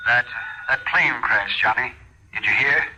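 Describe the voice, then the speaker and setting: A man's voice: medium pitch, unhurried, highs cut off, natural voice. A man acting an agitated insurance man on the telephone, tense and urgent, in 1950s radio drama style. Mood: shaken. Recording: slightly noisy old radio recording.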